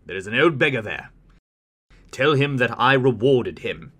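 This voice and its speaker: A man playing a kind, regal king in an animated fairy tale, speaking in a formal, declarative way. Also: mid-range voice, moderate pace. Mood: flustered, commanding. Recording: music under the voice.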